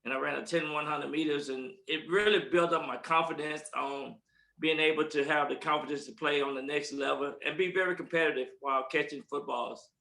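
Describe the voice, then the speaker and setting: Older man with a bright voice and relaxed, stop-start answers, recorded in an echoey room. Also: fairly high pitch, creaky. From East Texas, USA. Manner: relaxed and halting, with repeated words and fillers. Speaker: guest, former football player. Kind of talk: interview answers.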